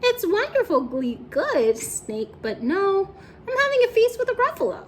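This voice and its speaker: A woman's voice: very high voice, very animated, moderate pace, voice pushed up. A woman voicing a small, clever storybook mouse with sing-song, exaggerated politeness and a teasing manner. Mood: polite. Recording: faint background noise.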